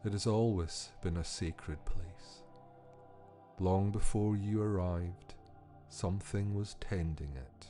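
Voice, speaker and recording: Slow, low-voiced man guiding meditation. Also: mellow, soft background music. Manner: soothing, unhurried, measured pauses. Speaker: podcast host and meditation guide. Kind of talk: guided meditation, scripted narration.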